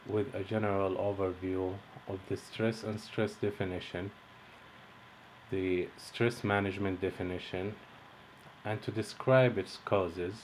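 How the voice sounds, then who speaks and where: Young male instructor from Egypt, deep voice, measured scripted read with faint background noise. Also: darkish tone, poor audio. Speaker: course instructor. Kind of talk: read-out lesson introduction.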